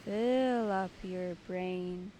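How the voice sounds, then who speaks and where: A woman leading a guided meditation as its host, giving soothing, deliberate instructions in short phrases. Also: on the low side, mellow, slight background noise.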